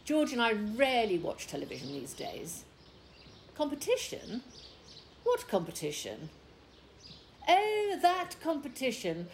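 Fussy British wife, animated and theatrical. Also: female voice, fairly high pitch, a little slow, pauses often, a little hiss or hum. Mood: brightly polite. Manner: theatrical, exaggeratedly polite on the phone. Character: indignant, fussy British village wife, relishing petty victory. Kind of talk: radio play acting.

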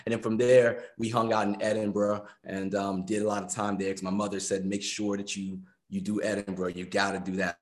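American guy about 50, kinda low voice, chatty interview guest, repeats stuff for emphasis. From United States. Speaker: guest, personal trainer and musician. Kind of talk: casual interview conversation.

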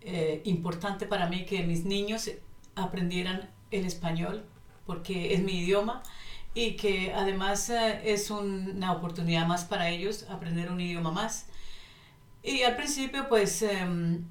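A woman in her fifties speaks as a podcast guest, thoughtful and hesitant, filling her pauses and checking in with short tag questions. She sits far from the mic, in a roomy space with noticeable echo, and her pitch stays in an ordinary middle range. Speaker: guest, economist and Spanish teacher.

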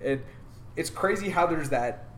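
A man's voice: on the high side, expressive, measured pace, a bit bright. A young man co-hosting a casual podcast, talking in an earnest, rambling, upbeat way. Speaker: podcast co-host. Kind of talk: casual chat.

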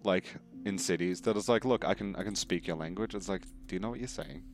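Young male co-host, very low voice, dry deadpan humor. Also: fast talker, faint music behind. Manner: dry, deadpan absurd jokes. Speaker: podcast co-host. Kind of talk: casual banter over a game.

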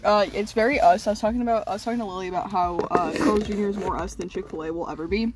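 A young woman co-hosting a casual car vlog, quieter and giggly, often trailing off mid-thought, recorded on a phone in a car. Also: mid-range voice, fairly even intonation, racing, very noisy. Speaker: vlog co-host. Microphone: phone set down in car.

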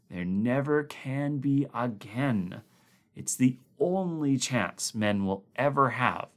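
A man's voice: sits high, voice pushed up, heavily acted. Man voicing an eager, frantic little scientist. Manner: excited, insistent, lecturing. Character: small, bony, eager male scientist.

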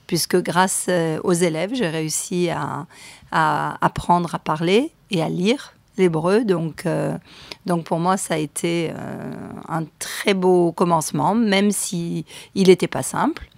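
Gravelly-voiced middle-aged French woman. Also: lowish voice, slow pace, no echo. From France. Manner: chatty, hesitant with many fillers, laughs often. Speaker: guest, real estate agent. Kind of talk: radio interview.

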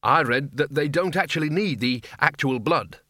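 A man's voice: on the high side, lively intonation, normal speed, sharp tone, no echo, pitched above the performer's own voice. A man voicing a doubtful wizard Dean who is eager to help in a comic fantasy audiobook, tentative and earnestly explaining.

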